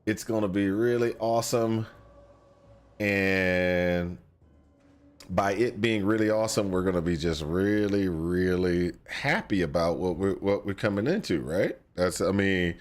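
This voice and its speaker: A young man streaming a video game, talking casually with many fillers and restarts while sniffling from a cold. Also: low-pitched. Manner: rambling, lots of fillers and restarts. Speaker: gaming streamer. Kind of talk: casual gameplay talk.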